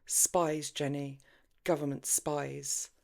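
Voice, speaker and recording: A woman's voice: low voice, level tone, takes it slowly, gravelly, darkish tone, full character voice. Woman acting a grave, disapproving woman friend. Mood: insistent. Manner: earnest, scolding, cautioning.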